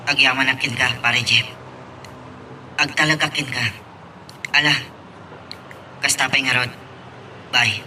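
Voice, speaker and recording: A man's voice: mid-range voice, fairly even intonation, a little slow, pauses often, sharp tone, slight background noise, poor audio. A man with an Ilocano Filipino accent playing a brooding, guilt-burdened husband working abroad in an audio drama. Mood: subdued. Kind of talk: Ilocano radio-style drama acting.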